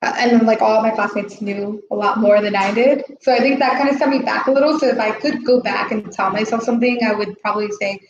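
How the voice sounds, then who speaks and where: A woman's voice: a little high, quick pace, hardly any pauses. Young woman chatting on a livestream, says like constantly, bit muffled and echoey w/ faint hiss. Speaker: language student guest. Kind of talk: casual live Q&A chat.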